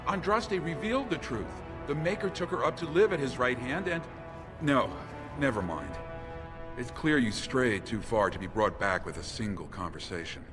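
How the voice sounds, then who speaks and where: A man's voice: mid-range voice, expressive, moderate pace, over music, lightly acted. A man acting as an earnest, pious missionary brother in a video game, speaking in a preachy way. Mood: fervent, then resigned.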